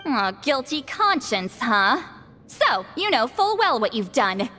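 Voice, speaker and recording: An actress voicing a haughty, sharp-tongued granny shaman priestess in an anime fantasy game, commanding, teasing and theatrical. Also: sits high, very animated, slow pace, full character voice. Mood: mocking, teasing. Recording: long reverb, music underneath. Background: game music.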